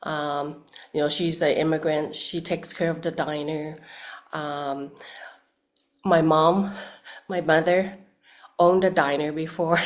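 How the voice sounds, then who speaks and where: A woman's voice: deep voice, steady tone, slow pace, long pauses. A woman from Hong Kong, speaking thoughtfully as an interview guest, with many restarts and fillers. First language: Cantonese. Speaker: actress guest. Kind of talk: casual interview chat.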